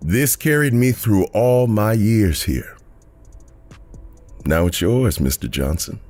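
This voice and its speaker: Male actor as proud dad, lowish pitch, unhurried delivery, audio drama, music behind. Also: expressive, a little mellow. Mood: proud, tender. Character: proud father handing down his college jacket to his son.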